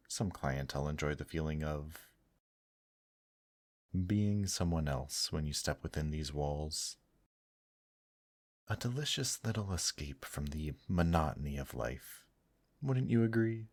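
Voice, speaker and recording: An extremely deep-voiced demon host, acted by a man, speaks slowly and intimately, as in an ASMR roleplay. He is suave and courtly, gently teasing the listener with old-fashioned pet names. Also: full character voice.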